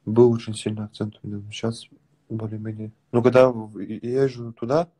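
A man in his twenties with a slight Dagestani accent, chatting casually with callers on a phone livestream from a sofa at home, relaxed and teasing. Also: on the low side, normal speed, a little hiss or hum. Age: 20s. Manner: relaxed, teasing, mumbles at times. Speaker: livestream host. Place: small room at home, on a sofa, indoors. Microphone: phone front camera held close.

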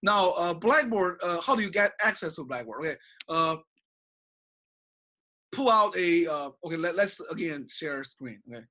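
Chinese-accented prof talking into a webcam from his office, kinda high bright voice, says okay? after everything. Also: lively intonation, normal speed. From China. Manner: frequent "okay?" and "uh" fillers, gesturing while talking. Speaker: college professor. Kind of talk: recorded online class lecture. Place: small office with bookshelves. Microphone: webcam video call at desk.

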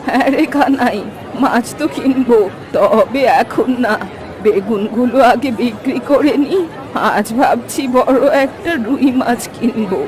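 A woman's voice: on the high side, measured pace, a little mellow, close to an everyday voice. A woman voicing a chatty old village granny in a cartoon. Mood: chatty, kindly. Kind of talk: cartoon dialogue. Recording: background music and crowd bustle.

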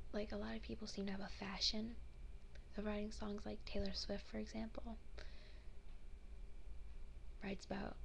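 A woman's voice: medium pitch, creaky, slight background noise, low-quality recording. A young woman vlogger whispering a rambling casual chat into a webcam in her bedroom, with many fillers and restarts. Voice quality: soft unvoiced whisper. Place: small bedroom.

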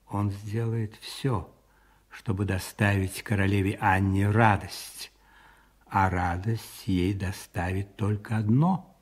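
A powerful, scheming cardinal in a radio drama. His voice is lowish and gravelly, and he speaks very slowly and deliberately, with commanding calm and savoured pauses. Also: exaggerated voice. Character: scheming powerful cardinal, cold and calculating spymaster. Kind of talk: radio play acting.

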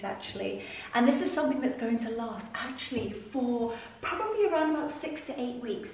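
A young woman with a fairly high voice talks as a lifestyle vlogger showing viewers around. She is effusive and enthusiastic in her delivery. The sound is roomy and comes from a camera a few steps away. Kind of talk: house tour vlog presenting. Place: large rooms inside a house. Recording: roomy, distant camera mic, noisy.

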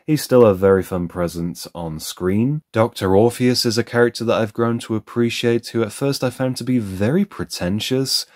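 A man's voice: mid-range voice, moderate pace, hardly any pauses, a little mellow. A man giving a candid, conversational voice-over for an unboxing review, sharing his opinions as he goes.

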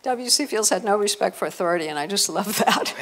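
A woman's voice: medium pitch, lively intonation, brisk. An older woman speaking informally on a panel as she leads audience questions, holding a microphone. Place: hotel conference room with an audience. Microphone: handheld microphone.

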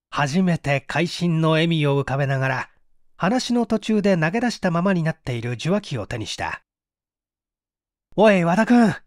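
A man's voice: fairly high pitch, dry recording, studio quality. A man reading mystery fiction aloud as a dramatic scripted narration. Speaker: audiobook reader.

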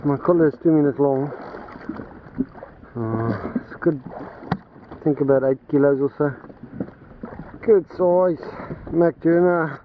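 A man on a jet ski at sea, giving unhurried commentary to his action camera as he fishes, thinking aloud between pauses. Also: on the high side. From Australia. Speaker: jet ski angler filming his trip. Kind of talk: casual running commentary while fishing. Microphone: action camera mounted on the jet ski. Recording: muffled action-cam audio, loud wind and water. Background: wind, waves and engine noise.

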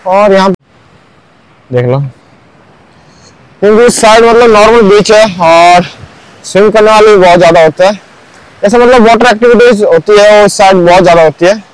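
A man's voice: sits high, normal speed, long pauses, clean tone, no room sound, low-quality recording. A young man narrating a casual walking tour of a beach at sunrise, chatty, with lots of filler words. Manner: chatty, keeps saying "bhai" and "matlab". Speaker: vlogger.

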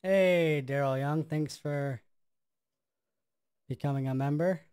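A man's voice: on the high side, slow, darkish tone, clean tone, no echo. A man co-hosting a live stream, dropping dry one-liners and thanking new members. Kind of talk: casual stream banter.

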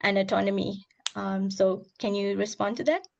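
A woman with a hoarse, gravelly voice asks long, carefully built questions at an online seminar. She pauses often as she thinks through each point. The sound comes over a video call. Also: mid-range voice, fairly even intonation, lo-fi sound. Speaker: audience member asking questions.

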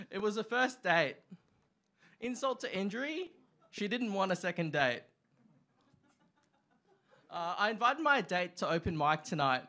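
Young guy doing open mic stand-up, kinda high voice, lots of ums and uhs, on a stand mic. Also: animated, bright, forceful tone. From Australia. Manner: halting delivery with many ums and uhs. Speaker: stand-up comic. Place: small dark club stage. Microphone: stand mic, far camera.

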